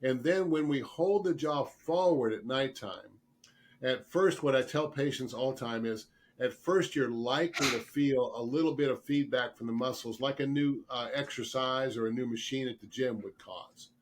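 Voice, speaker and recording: A man with a slightly rough voice from a lingering cold, explaining earnestly and emphatically as a guest expert in an interview. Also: medium pitch, moderate pace. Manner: earnest, emphatic, repeats words for stress. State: getting over a cold, throat clearing and coughing. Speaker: guest expert, dentist specializing in dental sleep medicine.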